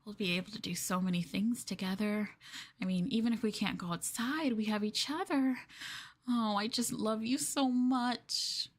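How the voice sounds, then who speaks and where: A woman playing an obsessive, sweetly possessive young girlfriend in an intimate ASMR roleplay, cooing and coaxing the listener with soft, breathy asides. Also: mid-range voice, normal speed, lightly acted. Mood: lovestruck, possessive.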